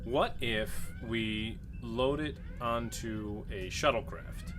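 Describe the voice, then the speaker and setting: A man's voice: medium pitch, wildly swooping pitch, slow pace. A man playing a tabletop game, casually working out a plan with the other players. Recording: clear background noise.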